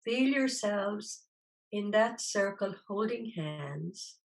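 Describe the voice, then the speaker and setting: Slow-speaking woman guiding a meditation. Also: medium pitch, lively intonation, low-quality recording. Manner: soothing, unhurried, gently instructing with long pauses. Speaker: meditation teacher. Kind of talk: guided meditation.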